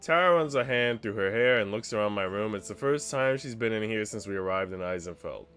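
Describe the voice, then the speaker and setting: A man's voice: fairly low pitch, animated, a little fast, fairly bright tone, faint music behind. A man reading a visual novel aloud as a streamer, narrating the script and dropping in slangy, joking asides.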